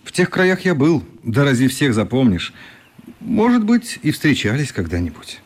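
A wry easygoing reporter in radio drama, voice swoops around, a bit husky, mock polite. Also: male voice, medium pitch, huge pitch swings, normal speed. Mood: gentle. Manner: ironic, mock-polite, conversational. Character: good-natured, wry male journalist, ironic and unflappable. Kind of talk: radio play acting.

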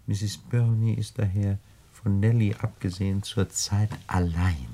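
An actor in a German radio play voicing a genteel, scheming crook who talks in ornate, condescending phrases with deliberate hesitations. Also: male voice, on the low side, steady tone, mellow. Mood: unctuous, scheming. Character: genteel, wordy, scheming male crook, smugly condescending mastermind.

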